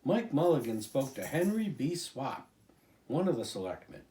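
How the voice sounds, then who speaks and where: Older man reading, lively rise and fall. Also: mid-range voice, moderate pace, roomy sound. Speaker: storybook reader, grandfather. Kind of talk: reading a children's storybook aloud.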